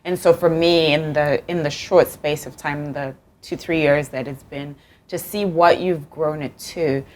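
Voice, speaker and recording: A woman's voice: sits low, fairly even intonation, unhurried, a little mellow. A woman from Zimbabwe hosting an interview, effusive and encouraging, often laughing as she praises her guest. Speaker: host. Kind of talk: warm interview chat.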